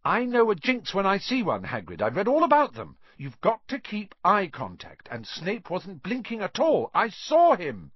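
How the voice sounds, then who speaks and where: A man voicing a bright, bossy and earnest schoolgirl in an audiobook, emphatic and sure of herself. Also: high-pitched, huge pitch swings, moderate pace, hardly any pauses, slightly rough, no echo, highs cut off, voice pushed up, full character voice. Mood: indignant, insistent. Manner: emphatic, insistent. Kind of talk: audiobook character voice.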